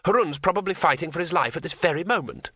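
Man putting on a high, cheerful, naive tourist. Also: expressive, fast, bright, forceful tone, husky, no echo, studio quality, full character voice. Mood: curious, excited. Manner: cheerful, curious, innocent.

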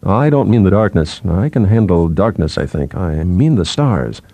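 Guy narrator doing a nervy wry man who's given in to fate, audiobook, mellow, hesitant, animated pitch. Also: normal speed, no echo, heavily acted. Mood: wry, uneasy. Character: wry, nervy man resigned to looming catastrophe.

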